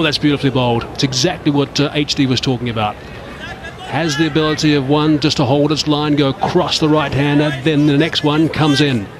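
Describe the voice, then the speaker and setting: A man giving live television cricket commentary in a measured way, lifting sharply when a big moment comes. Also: medium pitch. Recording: broadcast commentary over noisy crowd ambience.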